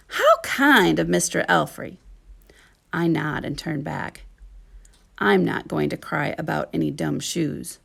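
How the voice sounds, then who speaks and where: Woman narrating a kids picture book, lots of vocal fry, slow and deliberate, expressive. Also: medium pitch. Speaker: teaching artist reading a storybook. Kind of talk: scripted children's story narration.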